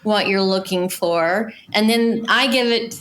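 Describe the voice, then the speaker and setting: A woman's voice: mid-range voice, measured pace, fairly bright tone, slight background noise, poor audio. A middle-aged woman talking casually and conversationally as a guest casting director in an interview.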